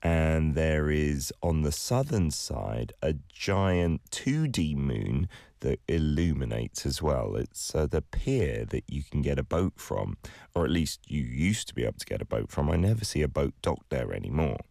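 Deep-voiced male radio host, dry sound. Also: big pitch swings, a little slow, gravelly, warm tone, no room sound. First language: Korean. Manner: easygoing, chatty asides with fillers. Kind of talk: scripted news reading and casual on-air chat.

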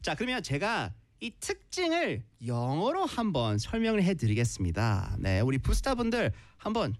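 A woman hosting a chatty quiz segment, switching between Korean and slow, deliberate English explanations. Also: fairly low pitch, wildly swooping pitch, dry recording. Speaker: radio show host. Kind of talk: lively bilingual quiz-show chat in Korean and English.

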